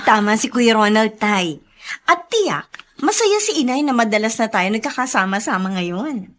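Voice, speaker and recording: An affectionate, playful daughter in a radio drama. Her high, sharp voice bounces around as she coaxes and teases. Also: very animated, normal speed. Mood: reassuring. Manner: playful, coaxing. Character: affectionate, teasing adult daughter of an ailing father.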